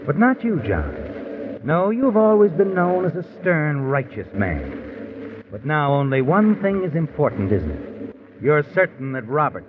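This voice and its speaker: An actor playing an ominous all-knowing mystery narrator who taunts in a menacing way, in 1950s radio style. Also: male voice, a little high, big pitch swings, unhurried, background noise. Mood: ominous, taunting. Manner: menacing, taunting, addresses the character directly. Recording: lo-fi old radio broadcast. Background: dramatic music.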